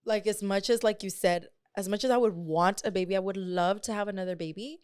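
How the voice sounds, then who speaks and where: A woman's voice: medium pitch, fairly even intonation, brisk, a little mellow, clear, resonant tone, no echo, clean studio sound. A woman, chatting casually as a podcast co-host, candid and slangy, slipping into Spanish and swearing freely. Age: late 20s. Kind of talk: casual sibling podcast chat.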